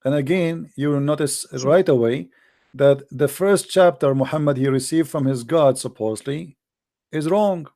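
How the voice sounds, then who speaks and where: A man's voice: on the high side, moderate pace, mellow. Insistent man lecturing, Arabic native. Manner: insistent, lecturing, repeats phrases. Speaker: host. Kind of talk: call-in debate.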